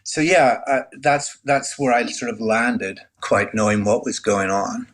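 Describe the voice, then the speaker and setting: A man in his sixties from Northern Ireland, a guest telling his life story in a relaxed, conversational way, full of "you know". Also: mid-range voice, a little slow. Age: 60s. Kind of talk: candid storytelling.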